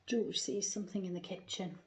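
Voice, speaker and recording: A woman reading a picture book expressively to young children, theatrical, asking the listener questions with hushed asides. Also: medium pitch, fairly even intonation, brisk, slight background noise. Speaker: primary school storyteller.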